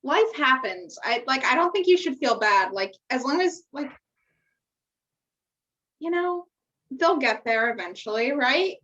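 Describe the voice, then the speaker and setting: Young American female podcast co-host, higher pitch, informal and encouraging, lo-fi distant sound. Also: expressive, a little slow, pauses often, fairly bright tone. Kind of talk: casual chat. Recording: muffled, distant-sounding microphone.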